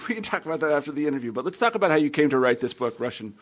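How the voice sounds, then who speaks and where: A man's voice: on the high side, expressive, very fast, a bit bright. A man interviewing a guest in a casual, conversational way, feeling his way through his questions with hesitant, rambling phrasing. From United States. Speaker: interviewer, history podcast host; university teacher.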